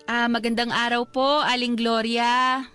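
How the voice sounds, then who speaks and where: A woman's voice: a little high, level tone, a little slow, bright, forceful tone, smooth. An actress playing a young pregnant woman in a Filipino radio drama, polite, earnest and pleading, with faint music underneath. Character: pregnant young woman, kind worried neighbor.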